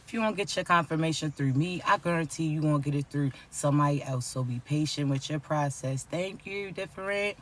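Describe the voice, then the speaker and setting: A raspy, fairly high-pitched man delivers a motivational spiritual message. His tone is bright and pressing, and he keeps checking in with his listeners, calling them chosen ones. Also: a little fast, few pauses. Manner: repeats tag questions like right and you understand me. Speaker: spiritual reader.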